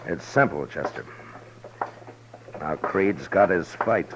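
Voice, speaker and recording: An actor playing a stoic, laconic frontier marshal in a 1950s radio western, plainspoken and resolute. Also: male voice, sits low, animated, slow pace, exaggerated voice. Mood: firm. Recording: muffled noisy old radio recording.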